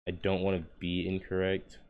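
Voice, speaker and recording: Low-voiced man speaking with an American accent. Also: moderate pace, highs cut off. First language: Polish. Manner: relaxed, swearing, laughs easily. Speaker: podcast co-host. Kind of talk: casual chat.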